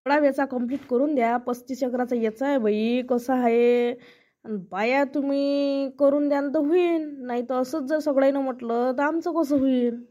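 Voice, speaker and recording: A woman's voice: high-pitched, fairly even intonation, normal speed, dark tone, lightly acted. A woman voicing a worried, talkative village farmer man in a comic cartoon, folksy and complaining, with a Varhadi Marathi accent. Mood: businesslike.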